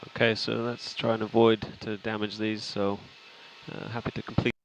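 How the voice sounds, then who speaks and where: A man working as a race engineer, giving calm instructions over team radio. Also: fairly low pitch, normal speed, low-quality recording. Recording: team radio with background noise.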